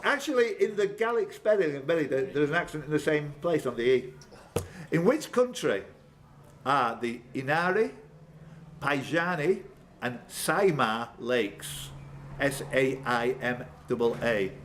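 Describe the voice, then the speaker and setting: Lively, higher-voiced male quiz master. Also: unhurried. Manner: bantering, jokey asides. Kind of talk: live pub quiz hosting. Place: pub. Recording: background room noise.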